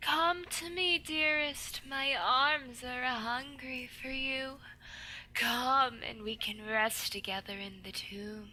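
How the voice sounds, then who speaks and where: An actress playing a seductive undead vampire woman in a radio horror play, slow, breathy and beckoning. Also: high voice, little pitch movement, sharp tone, heavily acted. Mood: seductive, hungry. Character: seductive undead female vampire, luring and hungry. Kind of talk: vintage radio horror acting.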